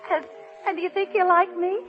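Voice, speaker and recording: Timid, dreamy ingénue, high voice, halting delivery, 1930s radio play, music underneath. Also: female voice, little pitch movement. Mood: nervous. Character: shy, timid, dreamy, naive young woman. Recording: muffled old radio recording with music.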